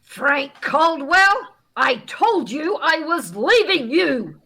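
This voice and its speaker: An actress playing a suspicious, sharp-tongued wife in a radio comedy, scolding and wry. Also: female voice, high-pitched, very animated, slow, full character voice. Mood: exasperated, suspicious. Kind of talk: 1950s radio comedy acting.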